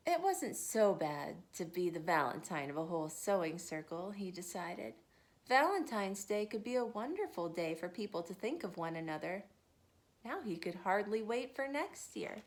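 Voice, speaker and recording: Expressive mom reading a picture book aloud. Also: female voice, medium pitch, unhurried. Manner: expressive, sing-song. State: tired. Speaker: mother reading to children.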